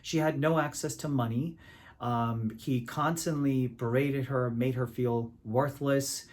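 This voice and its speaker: A man from Pakistan works as an immigration attorney and explains the law in a measured, deliberate talk to camera. He pauses often on filler sounds as he lays out each point. His voice sits at an ordinary middle pitch. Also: steady tone, darkish tone.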